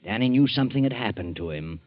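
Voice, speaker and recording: An actor playing an ominous, all-knowing mystery narrator in a theatrical, deliberate 1940s radio style. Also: male voice, fairly low pitch, animated, no room sound, lightly acted. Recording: muffled 1940s radio, music behind.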